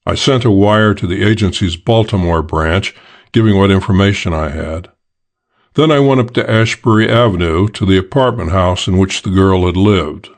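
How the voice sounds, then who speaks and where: Deep-voiced male audiobook narrator. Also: moderate pace. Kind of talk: scripted first-person detective story narration.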